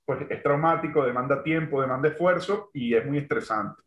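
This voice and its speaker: A man from Venezuela, a construction entrepreneur speaking as an interview guest. He speaks thoughtfully and fills pauses with "este" and "digamos, ¿no?". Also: mid-range voice, fairly bright tone. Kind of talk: remote interview. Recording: roomy, dull lo-fi sound.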